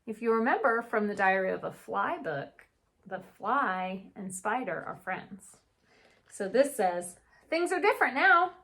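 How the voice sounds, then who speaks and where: A woman's voice: medium pitch, sing-song, a little slow, fairly bright tone. A woman teacher reading a children's storybook aloud with chatty asides, putting questions to young listeners and answering them. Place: indoor reading nook at home.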